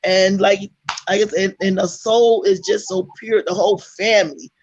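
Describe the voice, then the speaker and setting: A hoarse woman guest speaking over a video call, earnest and soft-spoken, often repeating herself. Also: mid-range voice, fairly even intonation, normal speed. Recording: dull lo-fi call audio.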